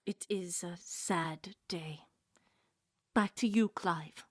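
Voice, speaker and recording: A woman's voice: on the low side, extremely slow, no room sound, clean studio sound. A woman playing an earnest, mock-solemn news reporter in an audio comedy.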